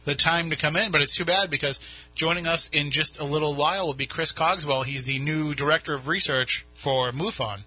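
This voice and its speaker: A man's voice: a little high, fairly even intonation, brisk, few pauses, sharp tone, no room sound, low-quality recording. A man with a New England accent hosting a radio show, chatting casually in a studio with many restarts. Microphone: studio mic.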